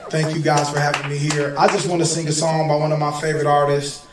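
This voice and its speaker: A hoarse man from Detroit, a singer, talking loosely and directly to a gathered audience in slangy, conversational speech. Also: a little high, level tone, fast, very noisy. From Detroit, USA. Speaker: singer and guest speaker. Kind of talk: casual heartfelt talk to an audience. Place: gathering in Malibu. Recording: echoey room, distant mic, music behind.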